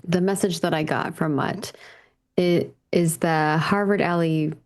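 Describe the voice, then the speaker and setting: A woman's voice: lowish voice, fairly even intonation, a little slow. A woman playing a tabletop roleplaying game, moving casually between questions and improvised in-character talk.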